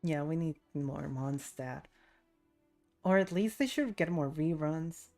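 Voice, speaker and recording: Young woman gamer just rambling to her stream, casual, lots of vocal fry. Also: low-pitched, slow, a little mellow, faint music behind. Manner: chatty, rambling, musing aloud. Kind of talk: casual livestream chat while gaming.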